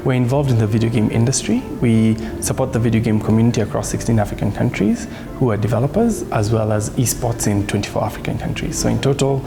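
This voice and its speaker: A man's voice: mid-range voice, fast talker, vocal fry, music in the background. A man, telling about himself in a profile interview. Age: 30s. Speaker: interviewee, video game company CEO.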